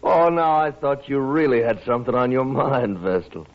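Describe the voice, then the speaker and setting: A man's voice: medium pitch, lively intonation, normal speed, lightly acted. A man acting a cocky, callous riverboat gambler in a 1950s radio western, with a brash, mocking manner. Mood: mocking menace. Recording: muffled vintage 1950s radio recording.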